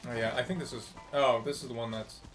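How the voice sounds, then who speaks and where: A man's voice: medium pitch, steady tone, fast, soft background music, poor audio. A man doing live game commentary and reading on-screen text aloud, sometimes stumbling over the words. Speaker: game streamer.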